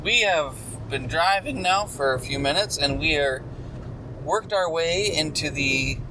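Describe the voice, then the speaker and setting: A man's voice: on the high side, animated, a little slow. A man bantering casually with a friend in a moving car, joking and rambling with lots of fillers. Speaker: co-host. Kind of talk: casual chat. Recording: noisy car with road noise.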